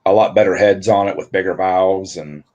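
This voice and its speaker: A man, a guest on a livestream, explaining engine work in a relaxed way with lots of fillers. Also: low voice, normal speed, lo-fi sound. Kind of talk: casual technical chat.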